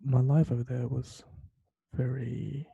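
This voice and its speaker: A man's voice: medium pitch, level tone, slow pace, gravelly, dark tone, breathy edge, no echo. A young man from Taiwan hosting a solo podcast from his bedroom, talking hesitantly with many fillers and restarts. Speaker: podcast host. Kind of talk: solo late-night podcast monologue. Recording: dull lo-fi sound.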